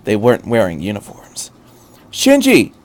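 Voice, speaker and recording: Guy reading an action story out loud, earnest and dramatic, middle pitched voice. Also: moderate pace. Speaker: fan fiction reader.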